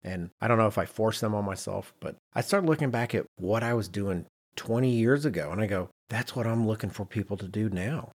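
A man's voice: fairly low pitch, brisk, breathy edge. A middle-aged man hosting a podcast in casual discussion, acting out little imagined quotes as he explains. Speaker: podcast host.

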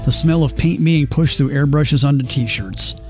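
Guy doing a wry old-radio style narrator, measured and mock literary, bit of music under him. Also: a little high, close to an everyday voice. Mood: mock-dramatic. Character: wry storybook narrator of a hapless hero's adventure. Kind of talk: old-time radio adventure comedy narration.

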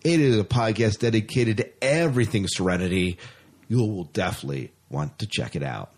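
Male podcast host, low register, chatty and informal. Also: animated, fairly quick, a little mellow, a little hiss or hum. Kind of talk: casual chat.

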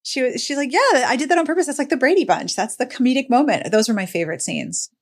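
A woman's voice: high voice, lively intonation, fast. A woman hosting a podcast, chatting casually about books in an emphatic, playfully declarative way. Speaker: podcast host. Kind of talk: casual book chat on a podcast.

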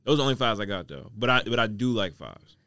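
A man's voice: lowish voice, animated, fast, bright, forceful tone, no echo, clean studio sound. A man chatting casually as a podcast guest, answering in short, agreeable phrases. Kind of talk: casual chat.

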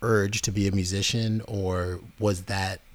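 Slow, low-voiced male interviewer. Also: level tone. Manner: brief, plain questions. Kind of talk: interview questions.

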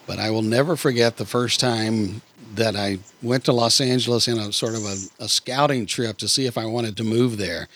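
A man's voice: lowish voice, moderate pace, few pauses, fairly bright tone. Man hosting a conversational podcast interview.

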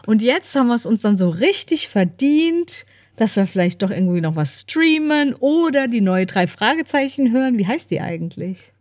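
A woman's voice: fairly high pitch, sing-song, moderate pace, darkish tone, no echo. A woman co-hosting a podcast, chatting in a casual, informal way into a close microphone. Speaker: podcast co-host. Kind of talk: casual chat.

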